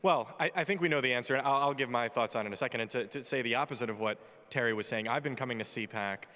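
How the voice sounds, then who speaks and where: A man's voice: medium pitch, very fast, sharp tone. Young man, twenties, opinionated panel talk, wisecracking, big echoing hall. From United States. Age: 20s. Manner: brash, joking, opinionated. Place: large conference hall stage. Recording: reverberant hall.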